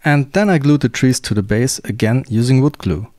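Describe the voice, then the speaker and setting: A male workshop host calmly narrating the steps of a woodworking project in a scripted voice-over, with a German accent. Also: mid-range voice, moderate pace, over music. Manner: calm, plain step-by-step explaining.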